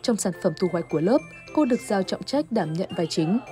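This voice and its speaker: A woman's voice: mid-range voice, animated, fairly quick, mellow, soft background music. A woman reading scripted narration for a television news report.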